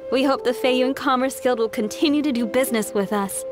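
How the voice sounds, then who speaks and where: A woman's voice: high voice, fairly quick, over music, lightly acted. A woman playing a shrewd polite merchant. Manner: courteous, slightly flustered. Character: polite female ore merchant, shrewd and businesslike. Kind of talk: video game voice acting.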